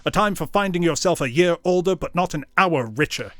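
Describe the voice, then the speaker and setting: A man's voice: medium pitch, big pitch swings, normal speed, vocal fry, dry recording, soft background music. A man growling as a scornful old miser. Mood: indignant. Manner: loud, scornful and harsh. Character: miserly bitter old male boss.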